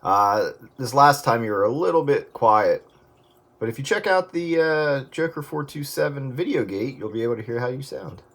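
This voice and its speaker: A man's voice: mid-range voice, animated, moderate pace, a bit bright. A man in casual CB radio chat, talking in a folksy way with radio jargon over very noisy, clicking radio audio.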